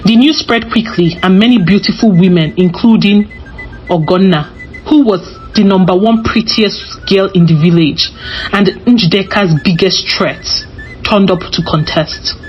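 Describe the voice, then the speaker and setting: A woman's voice: medium pitch, unhurried. A woman narrating a scripted folktale as a storyteller. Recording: slightly dull recording with music behind. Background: music.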